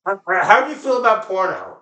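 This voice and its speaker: A man with a high voice trades casual jokes with friends. The tone is bright and forward, and the living room adds clear echo around his words. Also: lively intonation, fairly quick. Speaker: comedy show co-host. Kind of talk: casual joking banter among friends.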